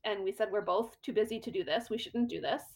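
A woman's voice: mid-range voice, fast talker. A middle-aged woman, a costume designer, telling a story in casual interview talk and acting out her own quoted thoughts.

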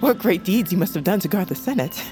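Woman acting a meek, hushed bird-folk servant with secrets. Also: fairly low pitch, lively intonation, quick pace, close to an everyday voice. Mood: meek, deferential. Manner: deferential and hesitant, often hushed to a whisper. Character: female bird-folk assassin posing as a meek lowly scavenger. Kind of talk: audio drama acting. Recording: music behind the voice. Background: music.

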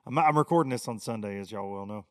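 A hoarse man in his forties with a Tennessee Southern accent, hosting a casual podcast in a folksy, rowdy way with loud bursts. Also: on the low side, fast, no echo, studio quality. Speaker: podcast host. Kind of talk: casual solo podcast monologue.

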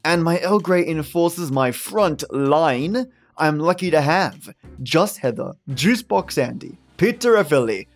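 A man's voice: on the high side, expressive, a little slow. A man hosting a podcast, chatty and scripted, in a playful, exaggerated, matey manner. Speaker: podcast host. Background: guitar music.

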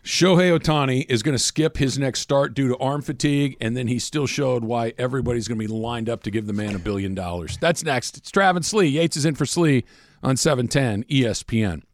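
A man's voice: medium pitch, fairly quick, no echo, studio quality. A man hosting a sports radio show in a conversational style. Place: radio studio.

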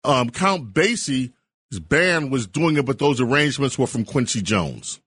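A man's voice: medium pitch, expressive, a little slow, fairly bright tone, husky, dry recording. A man hosting a call-in talk radio show, talking eagerly and wandering off on tangents. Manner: enthusiastic, rambling tangents. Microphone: studio microphone.

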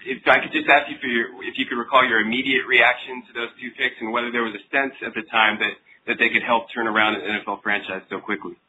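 A man's voice: mid-range voice, steady tone, quick pace, bright, forceful tone. A man working as a sports reporter, politely putting a conversational question on a conference call. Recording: dull phone call with faint noise.

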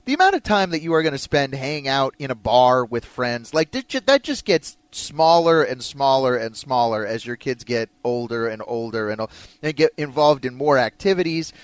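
A man's voice: mid-range voice, sing-song, moderate pace, no echo. A man hosting a sports talk radio show in a casual, bantering style.

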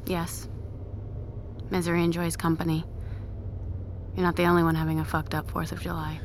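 A woman's voice: lowish voice, completely flat delivery, normal speed, slight background noise, natural voice. A woman playing a blunt, sardonic, weary emergency-room nurse with a morbid streak in an audio drama. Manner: blunt, matter-of-fact, sardonic. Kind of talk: audio fiction acting.

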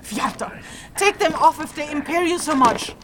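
A woman playing a tough, practical, impatient leader of a fantasy trek in an audio drama, speaking in a brisk, bossy, dry manner. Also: high voice, animated, background noise.

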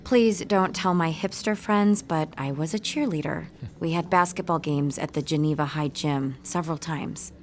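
Low-voiced earnest young woman, troubled actress's performance. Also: moderate pace, few pauses. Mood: urgent, candid. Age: young adult. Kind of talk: audio drama acting, naturalistic dialogue.

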